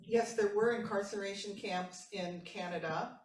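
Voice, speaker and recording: A woman panelist answering an audience question with measured historical detail. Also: mid-range voice, slight background noise. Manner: knowledgeable, measured. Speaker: panelist and moderator. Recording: distant voice in an echoey hall.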